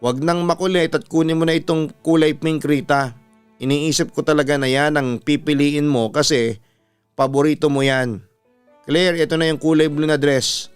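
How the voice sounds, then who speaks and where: A man's voice: on the high side, fairly even intonation, moderate pace, clean tone, dry recording, close to an everyday voice. A man with a Filipino accent voicing a bossy, protective mother who nags her children in a dramatised story. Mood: instructing. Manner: nagging, bossy. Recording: music behind the voice.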